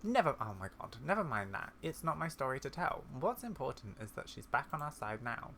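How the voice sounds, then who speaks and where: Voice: mid-range voice, big pitch swings, brisk, gravelly, pushed and bright, slight background noise, exaggerated voice. A gamer voicing a kindly, scholarly older witch woman from a video game, in a genteel, musing manner. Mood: brisk. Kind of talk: video game dialogue read aloud.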